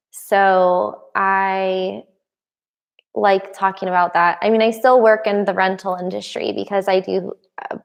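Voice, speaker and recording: A woman's voice: medium pitch, creaky. A young adult woman hosting a casual live stream Q&A, reading out viewer comments and answering them with frequent laughs. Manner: laughs often between remarks.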